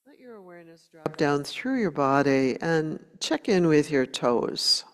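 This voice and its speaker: A woman meditation teacher leading a guided meditation in a gentle, unhurried way, leaving long pauses between short phrases. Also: low voice, big pitch swings. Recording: long hall reverb.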